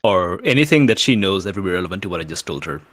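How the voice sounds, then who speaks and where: A man's voice: low voice, sing-song, rapid-fire, no room sound, clean studio sound. A man chatting casually as a player in a tabletop game, with a wry, playful manner. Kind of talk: casual chat during a game.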